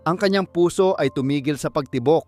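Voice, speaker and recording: Higher-voiced man narrating dramatic stories. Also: fairly quick, darkish tone, soft background music. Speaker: audiobook narrator. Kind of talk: dramatic scripted storytelling.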